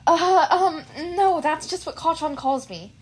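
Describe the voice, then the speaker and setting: A woman's voice: sits high, measured pace, voice pushed up. A woman voicing a meek, nervous teenage boy who stutters and hesitates. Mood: flustered.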